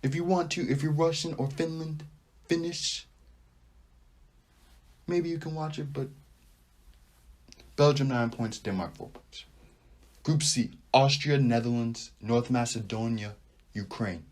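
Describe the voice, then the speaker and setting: A young man hosting a sports podcast, talking casually and rambling with animated asides as he thinks out loud. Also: medium pitch, unhurried, pauses often. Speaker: podcast host. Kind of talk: casual sports talk monologue.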